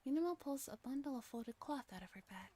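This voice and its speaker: A woman with a fairly high voice reads a story aloud in a soft ASMR streaming style. She is giggly and now and then trips over a line and starts it again. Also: animated, fast talker. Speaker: streamer reading a visual novel aloud.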